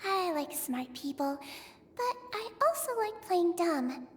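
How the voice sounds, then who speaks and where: A woman playing a poised, softly teasing lady in a video game, with a gentle, knowing manner. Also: extremely high pitch, very animated, slow pace, big-room sound, heavily acted. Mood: sly, soothing.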